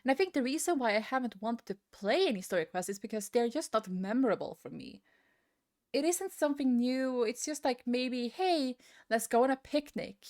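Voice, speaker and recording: A woman's voice: on the high side, animated, moderate pace, darkish tone. A woman giving a casual, rambling opinion rant as a gaming video creator, often hesitating and repeating herself.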